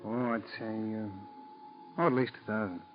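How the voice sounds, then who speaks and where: An observant American federal agent, acted by a man from 1940s radio drama, with a fairly low voice. He talks in an easy, folksy way. The recording is dull, with faint background noise. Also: steady tone, normal speed, natural voice. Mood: observant, casual. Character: easygoing, observant male FBI agent partner.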